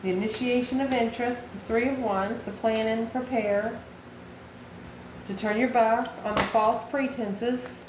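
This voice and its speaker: A woman's voice: on the high side, steady tone, unhurried. Echoey noisy recording, lady doing a tarot reading, folksy and familiar w the viewer, repeats stuff like an incantation. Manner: folksy, familiar, addresses listener as honey and baby. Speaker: tarot reader, channel host. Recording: echoey room with clear background noise.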